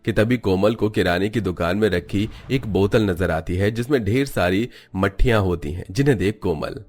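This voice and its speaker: Male narrator, lowish register, scripted cartoon storybook read. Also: normal speed, a little mellow.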